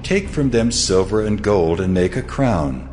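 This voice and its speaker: Audio Bible deity: very low, grave, commanding, with music underneath. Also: male voice, expressive, a little slow, darkish tone.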